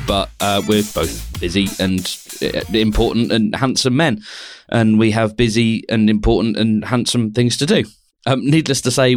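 A man hosting a podcast, reading a scripted intro with dry, self-mocking humour and then putting questions to a guest. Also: lowish voice. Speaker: podcast host.

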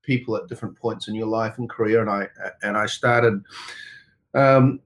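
A middle-aged man with a New Zealand accent answering interview questions in a halting, rambling way full of "you know"s. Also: on the low side, fairly even intonation, fairly bright tone. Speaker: interview guest, tech company co-founder.

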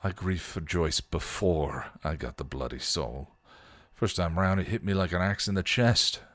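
Guy doing a snarky punk vampire, tired and sarcastic, real deep voice. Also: animated, moderate pace, fairly bright tone, no echo. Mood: weary. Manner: slangy, sarcastic, weary. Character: sardonic grieving male punk vampire. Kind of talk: fan fiction reading.

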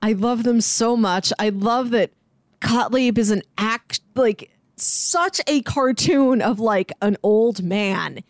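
A woman's voice: a little high, slow pace, no echo, studio quality. A woman co-hosting a film discussion, talking casually and conversationally. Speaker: podcast co-host. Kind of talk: casual, animated chat about a film.

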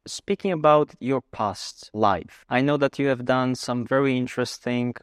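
A man hosting a podcast, reading a scripted introduction and asking measured, deliberate questions. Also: mid-range voice, smooth, no room sound, clean studio sound. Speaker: podcast host.

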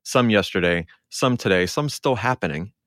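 A man's voice: on the low side, expressive, moderate pace, fairly bright tone, no room sound, clean studio sound. A man co-hosting a sports podcast, speaking in a casual conversational way. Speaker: podcast co-host.

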